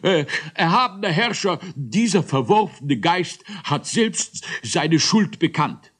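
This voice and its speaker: A man's voice: fairly high pitch, expressive, slow, fairly bright tone, breathy edge, pitched above the performer's own voice, full character voice. A man voicing a pompous, vindictive little creature judge in a children's story, thundering through a stuffed-up nose. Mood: outraged. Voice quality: nasal, stuffed-up. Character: pompous, fat-nosed, vindictive male goblin-like judge with a cold.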